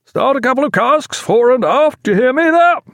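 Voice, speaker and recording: A bold sea captain acted out by a male audiobook reader barks brisk orders in a raised, loud voice. The pitch climbs well up as he hails across the water, with clipped naval authority in a dry recording. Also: very animated, breathy edge, dry recording, heavily acted. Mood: hailing loudly. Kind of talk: audiobook character acting.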